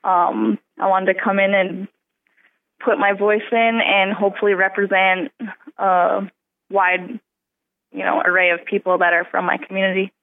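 A woman's voice: medium pitch, little pitch movement, normal speed, creaky. Chatty young woman over a tinny phone line. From southwest Alaska. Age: 20s. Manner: eager, chatty, with fillers like "um" and "like". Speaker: interviewed student delegate. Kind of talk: casual interview answers.

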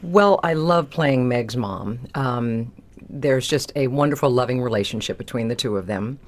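A woman's voice: rumbling low, normal speed. A woman stage actor talking in a casual interview, explaining things in a thoughtful, enthusiastic way.

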